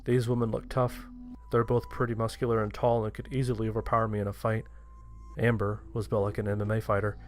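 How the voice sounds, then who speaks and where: A man reading a scripted first-person horror story as its narrator. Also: lowish voice.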